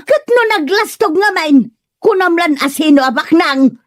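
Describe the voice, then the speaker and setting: Woman acting a high-pitched, quarrelsome old mother. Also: expressive, normal speed, no room sound, clean studio sound. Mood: stubborn, scornful. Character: quarrelsome, boastful elderly mother, stubborn and combative. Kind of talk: comedic Ilocano radio-style drama acting.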